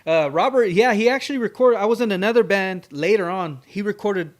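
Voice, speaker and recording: 40s guy guest on a podcast, kinda high voice, laid back slangy chat. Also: brisk. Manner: laid-back slang, often says bro and man. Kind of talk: casual chat.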